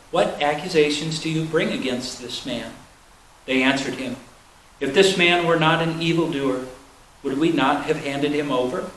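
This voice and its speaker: A man's voice: a little high, fairly bright tone. A man reading a Bible narrative aloud as a solemn scripted reading. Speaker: scripture reader. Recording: roomy echo, faint background noise.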